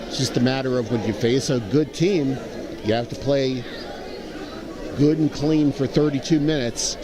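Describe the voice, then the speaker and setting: An American man giving measured live basketball analysis as a color commentator. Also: mid-range voice. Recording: noisy gym with crowd behind. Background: crowd noise in a gym.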